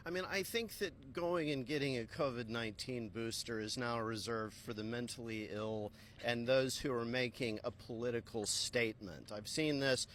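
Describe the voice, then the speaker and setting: A man being interviewed, speaking with force and insistence as he presses each point. His voice is bright and cutting at an ordinary pitch. Faint background noise hums under him. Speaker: interview guest. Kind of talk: emphatic interview answers.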